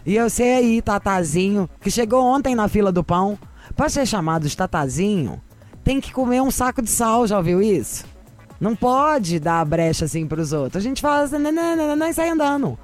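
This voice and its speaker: A woman hosting a radio advice show, with sassy, gossipy, animated chat. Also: medium pitch, normal speed, soft background music. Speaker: radio host.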